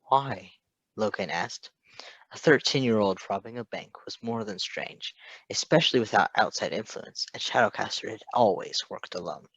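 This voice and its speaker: A man reading fiction aloud as an expressive narrator. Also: lowish voice, normal speed, slightly rough, no echo, highs cut off. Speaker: fan fiction reader.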